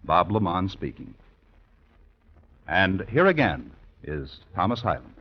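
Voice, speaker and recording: A man's voice: low-pitched, sing-song, slow pace. A male announcer reading scripted credits in 1950s radio style. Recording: dull vintage radio broadcast.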